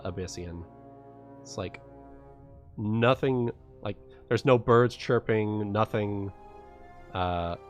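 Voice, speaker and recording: A man running a livestreamed tabletop game as game master, narrating casually and haltingly, often repeating his phrases. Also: lowish voice.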